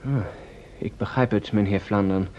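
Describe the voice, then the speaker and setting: An actor in an old radio play, playing a flustered, apologetic gentleman who talks in a hesitant, fussy, polite way. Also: on the low side, steady tone, moderate pace, natural voice. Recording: muffled vintage radio recording.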